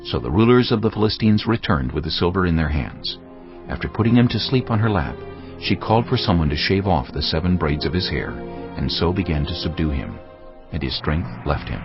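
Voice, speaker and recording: A man narrating a dramatized audio Bible in a solemn, measured storytelling manner. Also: low-pitched, expressive. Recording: slightly dull sound, faint music.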